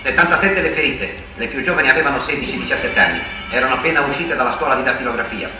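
A man's voice: medium pitch, very fast. A man reading scripted narration in the style of a 1950s newsreel. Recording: echoey distant old newsreel soundtrack. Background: loud music.

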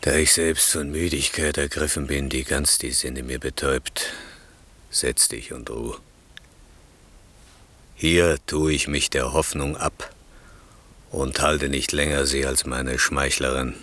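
An actor playing a weary, resigned, grieving king in a classical German radio play, solemn and measured. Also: rumbling low, fairly even intonation, sharp tone, full character voice.

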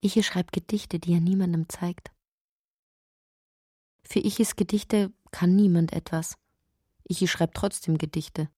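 An actress narrating a strange, inward literary radio drama in deliberate, short emphatic phrases. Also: female voice, mid-range voice, mellow, breathy edge, no echo, clean studio sound. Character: woman narrator of a strange inward dreamlike tale.

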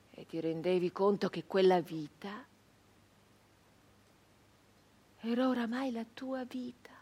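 An actress playing a haunted, defiant young peasant woman turned brigand in a radio monologue, with halting, repetitive, intense delivery. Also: lowish voice, breathy edge. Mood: haunted, hushed.

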